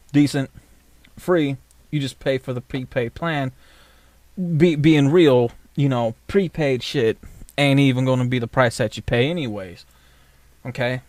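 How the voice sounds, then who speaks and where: A man's voice: mid-range voice, no echo. A man from the United States ranting in a casual vlog, blunt and profane, rambling with many fillers. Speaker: vlogger.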